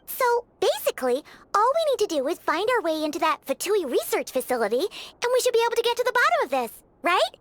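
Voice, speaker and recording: A woman voicing a small, childlike fairy companion in a video game, curious, excitable and full of questions. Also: extremely high pitch, fast talker, no room sound, exaggerated voice. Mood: eager. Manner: exclaiming, questioning. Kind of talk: video game character acting.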